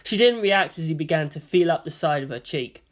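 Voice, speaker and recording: Low-pitched woman narrator, very lively delivery. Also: brisk. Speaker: fan fiction reader and narrator. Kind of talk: scripted story narration.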